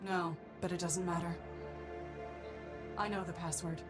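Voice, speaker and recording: A woman's voice: on the low side, flat delivery, a little slow, music in the background, close to an everyday voice. An actress playing an austere, coldly logical robot woman in grief, with a solemn, clipped manner, in a video game. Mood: bleak.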